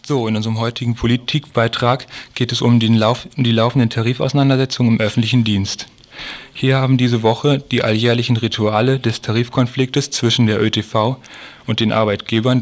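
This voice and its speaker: Male radio news presenter, medium pitch, reads scripted reports and puts interview questions.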